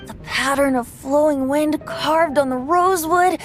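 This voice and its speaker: A woman's voice: sits high, unhurried, faint music behind. A woman playing a playful, theatrical young male bard in a video game. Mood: delighted, nostalgic. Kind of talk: video game character acting.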